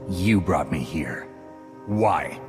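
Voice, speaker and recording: A stubborn hero knight in a video game, low voice, firm and defiant, bit of music behind. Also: male voice, expressive, extremely slow, heavily acted. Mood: demanding. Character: defiant, resolute heroic male Jedi Knight. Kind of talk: video game cutscene acting.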